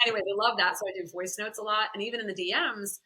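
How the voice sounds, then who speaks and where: A woman guest on a podcast, chatting candidly with a smoky voice, animated and quick to laugh. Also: mid-range voice, a loud crowd. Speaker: podcast guest, content creator and confidence coach. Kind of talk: candid conversational interview.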